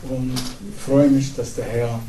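A middle-aged man from Syria with an Arabic accent, a pastor giving an earnest, halting evening talk to a congregation. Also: mid-range voice, flat delivery, mellow. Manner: earnest, halting, with pauses and fillers. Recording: echoey hall, distant mic, faint noise.

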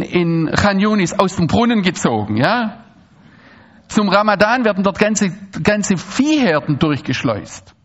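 A man addresses an audience in a lively, rambling way, circling back and restarting his sentences. His voice sits a little high for a man. The recording is dull and lo-fi. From Swabia, Germany. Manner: animated, digressive, frequent restarts and asides. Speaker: speaker giving a public talk. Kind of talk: lecture to an audience.

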